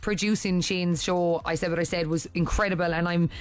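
A woman hosting a radio show, chatting in a lively, friendly way. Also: lowish voice, fairly quick. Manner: lively, chatty, slips into put-on phone voices. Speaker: radio presenter. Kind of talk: casual radio chat.

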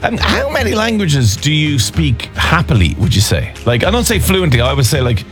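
An Irish man hosts a radio show in a lively, playful, teasing way, his pitch bouncing around as he chats. He talks into a studio microphone, with faint music playing behind him. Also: moderate pace. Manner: lively, teasing, sings station idents. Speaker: radio host. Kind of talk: casual radio chat.

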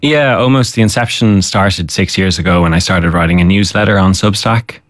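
Young man, chef guest, very low voice, halting and filler-heavy, dry studio mic. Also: no room sound. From Ireland, Dublin. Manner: hesitant, with frequent fillers. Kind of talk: interview answers. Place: radio studio.

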